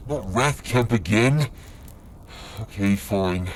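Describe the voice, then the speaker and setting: A man's voice: lowish voice, slow, a little hiss or hum. A man playing a cowed young demon son in an audio drama, stammering and pleading. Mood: sheepish, pleading.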